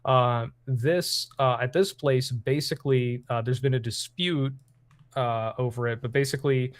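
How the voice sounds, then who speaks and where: A man's voice: mid-range voice, steady tone, a little slow, no echo. A man hosting a stream, chatting casually about politics with lots of fillers and restarts; English is not his first language. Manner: rambling, many fillers and restarts. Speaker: streamer host.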